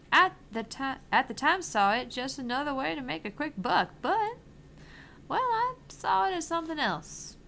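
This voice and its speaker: A woman's voice: sits high, gravelly, fairly bright tone, slight background noise, exaggerated voice. A woman voicing an eccentric, earnest older female arcade owner, theatrical and probing as she reads visual novel dialogue.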